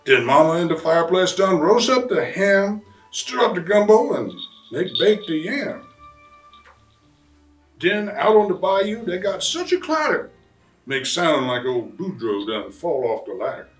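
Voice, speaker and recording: A man voicing an excitable bayou papa who tells a rhyming Christmas storybook tale in a folksy, animated way with drawn-out emphasis. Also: high-pitched, voice pushed up. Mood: excited wonder. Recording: roomy, distant mic, faint music.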